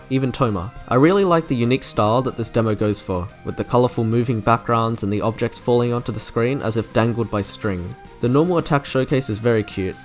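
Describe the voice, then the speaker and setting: A man hosts a scripted video review in a mid-pitched voice. His narration is delivered as prepared commentary, with music playing behind it.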